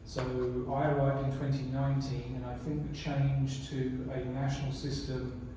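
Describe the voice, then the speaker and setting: A man's voice: medium pitch, moderate pace. Man presenting in an echoey room. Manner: relaxed, conversational, many restarts and fillers. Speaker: library strategy presenter. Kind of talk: conference presentation with audience questions. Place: conference room. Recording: echoey room, mic far off, faint noise.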